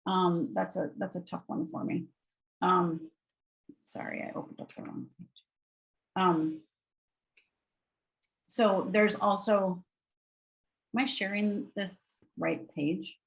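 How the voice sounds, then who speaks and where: A woman's voice: on the low side, steady tone, slow pace, gravelly, pauses often, rough recording. A woman with a nasal Wisconsin accent giving an upbeat, informal webinar walkthrough of educational resources in a conversational way.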